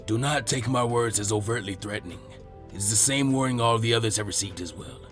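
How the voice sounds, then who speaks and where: A man voicing a stern elderly samurai leader in an anime fan fiction reading, formal, measured and grave. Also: fairly low pitch, fairly bright tone, soft background music, pitched below the performer's own voice, natural voice. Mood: stern warning.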